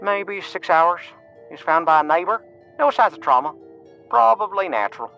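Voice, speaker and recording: A man voicing a tired police dispatcher in an audio story, flat and clipped, through a crackly telephone filter. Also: high-pitched, moderate pace, gravelly, faint music behind, pitched above the performer's own voice, exaggerated voice. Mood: flat, businesslike. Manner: clipped, flat, matter-of-fact. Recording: crackly, band-limited phone line.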